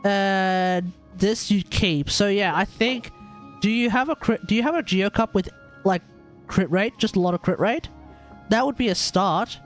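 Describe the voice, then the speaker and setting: A high-pitched man chats casually as a game streamer, thinking aloud in blunt, slangy commentary. Faint music plays behind his voice. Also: a little slow.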